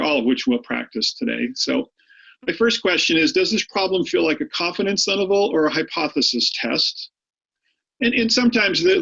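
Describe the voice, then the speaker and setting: A man's voice: on the high side, vocal fry, a bit bright, husky, lo-fi sound. A middle-aged man with a Boston accent tinged by Maine, giving an online statistics review lesson in a chatty way, often repeating his words. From Boston area, United States. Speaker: high school statistics teacher.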